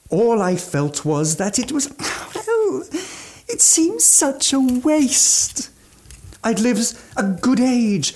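An actor playing an eccentric, aging gourmand mountaineer with ghoulish relish and fussy, old-fashioned genteel speech in a radio drama. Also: male voice, extremely high pitch, a little mellow, exaggerated voice. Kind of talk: theatrical radio drama monologue.

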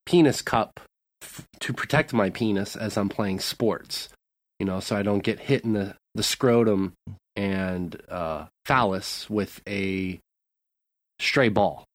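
A man co-hosting a casual podcast, telling jokes deadpan and recounting his week in a laid-back way. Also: fairly low pitch, slow pace, dry recording. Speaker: podcast co-host.

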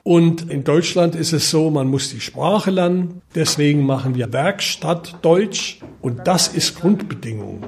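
Husky-voiced male workshop head. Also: fairly high pitch, unhurried, darkish tone. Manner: explanatory, listing things in an orderly way. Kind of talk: explaining in an interview.

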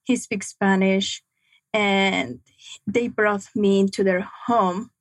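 Slow, halting young woman, second-language English. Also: medium pitch, fairly even intonation, dry recording. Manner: halting, reflective, frequent pauses. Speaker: guest. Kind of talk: personal testimony in an interview.

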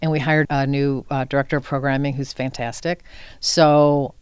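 A woman's voice: very deep voice, flat delivery, normal speed, smooth, dry recording. An older woman speaking as an interview guest, informal and earnest, thinking aloud in short conversational phrases. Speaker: film center executive director, interview guest.

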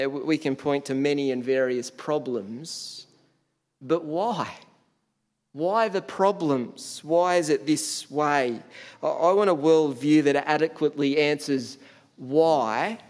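A man delivers a sermon in a relaxed, conversational way, often restarting words and filling pauses. His voice sits a little high for a man. The sound carries a long reverb, as if in a large hall. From Australia. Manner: conversational, frequent fillers and restarts. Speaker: preacher.

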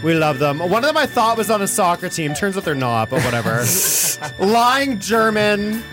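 A man's voice: high voice, sing-song, moderate pace. A man hosting a casual comedic radio show, bantering with guests in a campy, teasing manner. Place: radio studio. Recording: music behind the voice.

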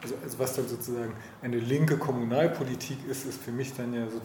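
A man's voice: mid-range voice, steady tone, mellow. Male interviewer in a noisy, echoey room. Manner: halting, rephrases and repeats himself. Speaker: podcast interviewer. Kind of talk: casual conversational interview.

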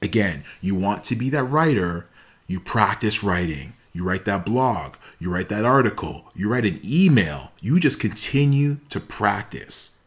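Low-voiced man giving an emphatic motivational talk. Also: very animated, a little slow. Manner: emphatic, repeats key phrases for emphasis. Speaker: motivational speaker.

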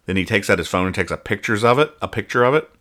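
Male podcast host, deep but punchy and bright, mock-earnest explainer with stumbling, casual delivery. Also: animated, very fast. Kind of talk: casual comic-strip review banter.

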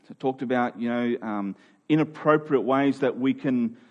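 A man's voice: medium pitch, moderate pace, highs cut off. A man preaching in a conversational, expository style, earnest and informal, leaning on key phrases for emphasis.

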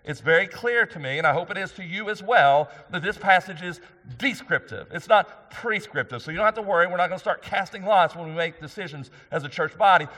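Male pastor, slightly high voice, loud emphatic delivery, church sanctuary with long reverb. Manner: loud emphatic preaching, rising for key points. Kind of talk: sermon.

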